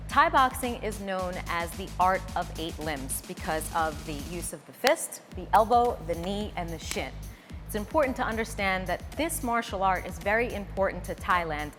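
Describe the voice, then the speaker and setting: A woman in her thirties coaching a workout, giving energetic, encouraging cues and instructions. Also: mid-range voice, big-room sound. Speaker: fitness instructor. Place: bright wood-floored fitness studio. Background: background music.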